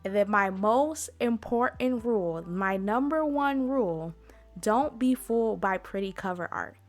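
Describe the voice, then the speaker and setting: A woman's voice: mid-range voice, expressive, measured pace, faint music behind. A woman hosting an informal explainer talk in a chatty, conversational way, speaking directly to her listeners. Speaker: convention panel host.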